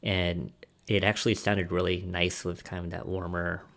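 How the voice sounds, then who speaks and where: Deep, gravelly man. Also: little pitch movement, normal speed, fairly bright tone. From United States. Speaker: podcast roundtable panelist. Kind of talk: casual personal storytelling in a discussion.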